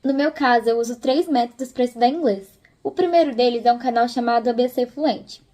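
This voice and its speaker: A woman's voice: fairly high pitch, fairly quick, darkish tone. A young Brazilian woman chatting casually and encouragingly as she gives tips in a video. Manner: informal, encouraging. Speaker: host of a video channel.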